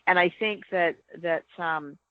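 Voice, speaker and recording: A woman's voice: on the low side, unhurried, no room sound, narrow, tinny audio. A woman speaking earnestly and emphatically from experience, giving practical advice in a casual panel chat. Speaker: podcast guest, experienced hunter.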